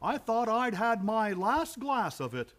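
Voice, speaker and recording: An actor playing a wistful, courtly elderly gentleman in a live old-time radio play, theatrical in manner. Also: high-pitched, very animated, unhurried, heavily acted.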